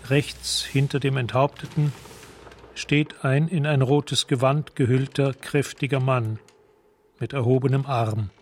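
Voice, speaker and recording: A man's voice: medium pitch, slight background noise, natural voice. Actor as sober, mid-voiced male narrator. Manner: sober, measured, observational. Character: detached male narrator describing scenes. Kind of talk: scripted radio drama narration.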